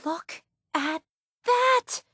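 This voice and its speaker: An eager young woman, a devoted superfan in a video game. She is high-pitched and excitable, gushing with earnest enthusiasm. The sound is close and dry. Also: drawn-out words, no echo, exaggerated voice. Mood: awestruck. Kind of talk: video game character dialogue.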